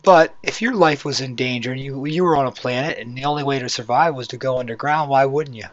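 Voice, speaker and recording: A man with a hoarse voice hosting a casual, rambling video walkthrough, talking conversationally as he explains what is on screen. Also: mid-range voice, quick pace, dry recording. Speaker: YouTube channel host. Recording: dull, lo-fi microphone sound.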